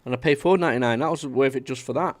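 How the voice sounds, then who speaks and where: A man's voice: mid-range voice, rapid-fire, no echo. A man, chatting casually to viewers on a live stream and reading out their comments. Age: 30s. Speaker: livestream host.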